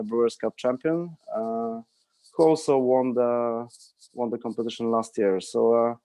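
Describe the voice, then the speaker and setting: A man in his thirties introducing himself on a video call panel, hesitating often with drawn-out fillers. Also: mid-range voice, a little mellow, clear, resonant tone.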